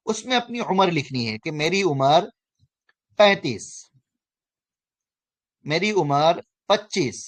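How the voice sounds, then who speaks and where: A man in his thirties teaches a finance class to students online. He talks to them directly, checks again and again that they follow, and fixes his own slips as he goes. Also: sits high. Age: 30s. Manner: repeats and checks in with students, self-correcting. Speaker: finance course instructor.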